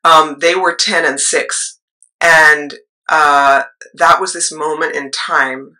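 A middle-aged woman with a low voice, a choir leader and actress, telling her story in a rambling interview style with asides. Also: fairly even intonation, slow, bright, forceful tone, low-quality recording. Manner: rambling, with asides and trailing thoughts.

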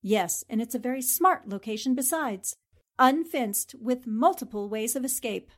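A woman playing a brisk, self-possessed newspaper reporter in a 1940s-style radio drama. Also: on the high side, lively intonation. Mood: calm, decisive.

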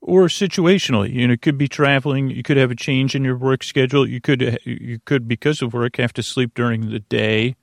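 A man's voice: mid-range voice, steady tone, creaky, no echo, studio quality. A man hosting a bedtime podcast in a rambling monologue, stammering and restarting often, with whimsical, reassuring asides. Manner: meandering, halting, stammering with repeated false starts; whimsical, goofy wordplay in soothing reassurance. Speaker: podcast host.

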